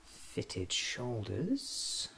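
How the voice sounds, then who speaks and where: Man acting as a quirky alien time traveller, ASMR roleplay, extremely slow deliberate speech, swooping intonation, soft musing to himself. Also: mid-range voice, wildly swooping pitch, gravelly, a little hiss or hum, heavily acted. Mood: musing, pleased. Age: 30s. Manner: slow, deliberate, hesitant self-musing in short phrases.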